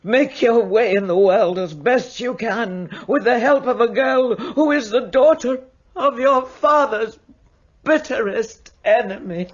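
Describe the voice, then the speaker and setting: A cold, unforgiving older mother in a radio play. She speaks slowly and sternly, in a very high and husky voice. The sound is dull and lo-fi. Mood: icy. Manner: stern, icy, formal.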